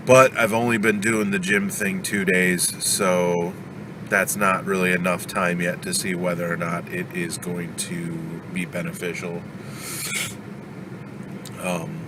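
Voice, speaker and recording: A man's voice: low-pitched, background noise. A man with a stuffed-up cold talking casually in a daily vlog, venting his frustration. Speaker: vlogger.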